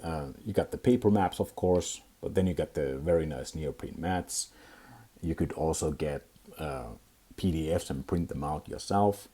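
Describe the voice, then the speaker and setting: Finnish guy, really deep husky voice, kinda halting, lots of uh and restarts, chill hobby review. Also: warm tone. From Finland. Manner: hesitant, many fillers and restarts. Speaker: tabletop gaming channel host. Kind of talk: casual product review vlog.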